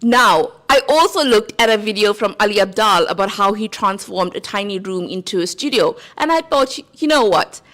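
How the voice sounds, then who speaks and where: A woman's voice: medium pitch, expressive, moderate pace, few pauses, a bit bright. A woman with a South African accent, talking casually to camera about growing a channel, earnest and conversational, often checking in with "right?". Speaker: YouTube creator. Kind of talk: casual talking-head advice.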